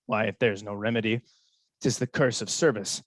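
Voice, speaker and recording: A man's voice: on the low side, fairly even intonation, moderate pace, dry recording, natural voice. A man playing a bitter, scheming military ensign in a Shakespeare radio play, theatrical and sardonic. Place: recorded at home over a video call.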